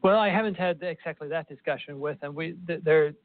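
A man with a Swedish accent, a professor guest, answering in a measured way and often repeating words. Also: on the high side. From Sweden. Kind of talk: conversational interview. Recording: tinny phone line.

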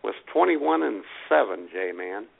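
A man's voice: fairly low pitch, animated, a little slow, creaky. A man co-hosting a podcast over a cordless phone, trading dry puns and jokes. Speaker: podcast co-host. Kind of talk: casual comedic chat. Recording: tinny phone line.

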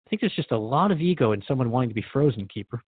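A man's voice: medium pitch, animated, rapid-fire, slightly rough, no echo, phone-quality sound. A man hosting a scripted radio drama interview, asking frank, questioning things of his guest.

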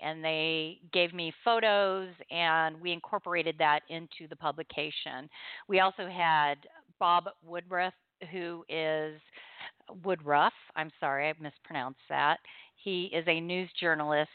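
A woman's voice: on the low side, smooth, dry recording, phone-quality sound. A woman hosting a radio show, talking casually and reading aloud in a studio.

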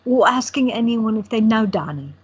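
A woman playing a husky, wry young photographer. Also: fairly high pitch, expressive, moderate pace. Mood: teasing. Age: 20s. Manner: dry, teasing banter. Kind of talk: amateur audio drama acting. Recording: dull lo-fi audio.

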